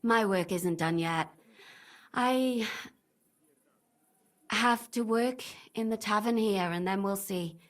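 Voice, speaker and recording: Actress as forthright medieval barmaid for a game, cynical and tough, mid-pitched voice. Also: female voice, slow pace, long pauses, close to an everyday voice. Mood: sincere, practical. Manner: blunt, forthright. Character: blunt, cynical, tough medieval tavern woman. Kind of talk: video game voice acting, dramatic dialogue.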